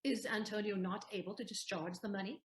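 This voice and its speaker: A woman's voice: mid-range voice, steady tone, fairly quick, a little hiss or hum, close to an everyday voice. A woman acting a composed, eloquent heroine posing as a lawyer in a Shakespeare audio play, formal and declamatory, in a roomy recording. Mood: formal, questioning.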